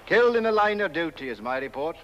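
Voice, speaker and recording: An actor with a British accent playing a self-important, dim village constable in a comic film, rambling and pompous. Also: male voice, a little high, very animated, quick pace, a bit bright, highs cut off, a little hiss or hum. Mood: self-satisfied. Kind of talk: 1970s comic film acting.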